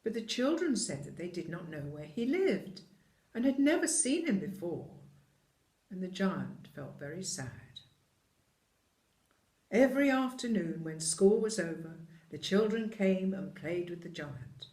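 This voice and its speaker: A storyteller reading a children's tale with deliberate, dramatic phrasing. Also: mid-range voice, very animated, a little mellow. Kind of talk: expressive scripted storybook narration. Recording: echoey room.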